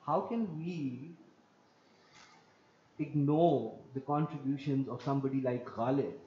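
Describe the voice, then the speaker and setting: A man from Pakistan, speaking on an online panel in a measured, lecturing way and repeating phrases for emphasis. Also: medium pitch, slight background noise. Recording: muffled lo-fi audio.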